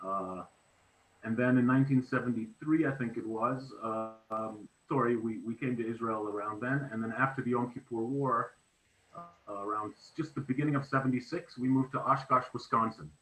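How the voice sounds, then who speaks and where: Middle-aged guy from south africa on a video call, halting, lots of restarts, roomy echo. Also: mid-range voice, fairly bright tone. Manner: hesitant, with many restarts. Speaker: guest. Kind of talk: casual interview chat. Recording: echoey room.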